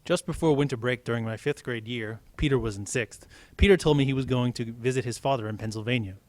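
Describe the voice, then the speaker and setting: A man's voice: medium pitch, fast, darkish tone, no echo. A man reading a story aloud as a teacher, with casual explanatory asides.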